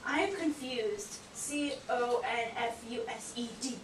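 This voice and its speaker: A woman voicing a cheeky, naive, excitable sock puppet pupil in an amateur educational puppet show, with exaggerated, teasing delivery. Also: a little high, a little slow, natural voice. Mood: teasing, puzzled. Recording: echoey room, distant mic, hissy noise.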